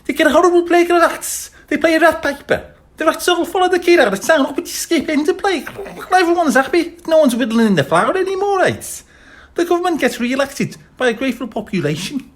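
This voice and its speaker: A man's voice: extremely high pitch, expressive, brisk, voice pushed up. A man voicing a streetwise male talking cat with a wheedling, persuasive patter in an audiobook. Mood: scheming.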